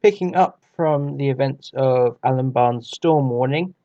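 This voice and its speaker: A man's voice: mid-range voice, unhurried, warm tone, dull audio. A man hosting a review channel, reading a scripted review.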